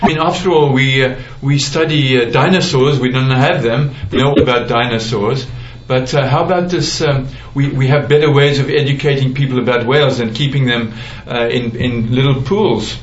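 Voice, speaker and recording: A man hosting a radio interview, asking questions in a halting way with many fillers. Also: medium pitch, steady tone. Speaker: radio host. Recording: dull, echoey radio studio with faint hiss.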